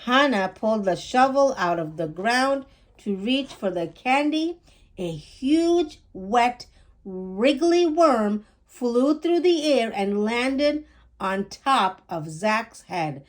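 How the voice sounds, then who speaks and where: Woman, children's storytime host, slightly high voice, slow read-aloud delivery. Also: lively intonation.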